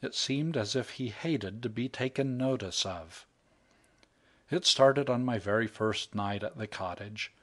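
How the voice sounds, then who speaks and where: A man narrating a comic short story as an audiobook reader. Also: fairly bright tone. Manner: unhurried, low storytelling voice.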